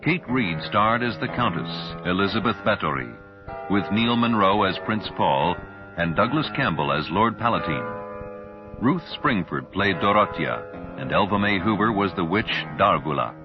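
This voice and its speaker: A man's voice: deep voice, lively intonation, normal speed. A man announcing in a scripted radio style, as if presenting a programme's closing credits. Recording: dull old radio recording, music behind. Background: music.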